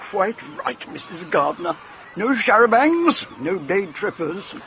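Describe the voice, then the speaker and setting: A blunt, sporty English spinster of middle age in a radio drama. Her low female voice is gravelly and lively, brisk and wry, over a noisy background. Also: exaggerated voice.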